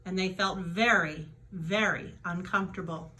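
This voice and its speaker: A woman's voice: fairly low pitch, fairly even intonation, measured pace, noisy background. A woman storyteller reading a children's book aloud with dramatic emphasis, stretching out key words.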